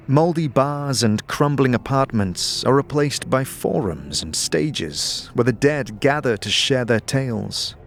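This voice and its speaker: Expressive male fantasy narrator. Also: mid-range voice. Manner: descriptive, storytelling delivery. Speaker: narrator and game master. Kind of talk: scripted second-person fantasy narration.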